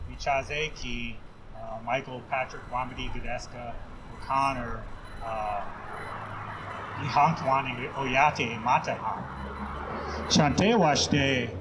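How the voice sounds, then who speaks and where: An American man giving an impassioned speech at an outdoor rally, speaking in a halting, earnest way and leaning on repeated phrases. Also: medium pitch, noisy background. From Yankton Sioux Nation, Sioux City, Iowa, United States. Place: outdoors at a downtown courthouse rally.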